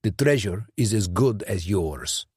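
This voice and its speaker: A man's voice: on the low side, animated, a little slow, darkish tone, husky, no room sound, clean studio sound, soft background music. A man voicing a nervous, blustering male hired killer who stumbles defensively through his explanations.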